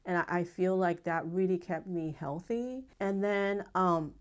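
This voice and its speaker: A middle-aged woman from Japan with a Japanese accent, a pediatric acupuncturist telling her story in a measured, earnest way with frequent pauses. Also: lowish voice. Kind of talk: personal story told to camera.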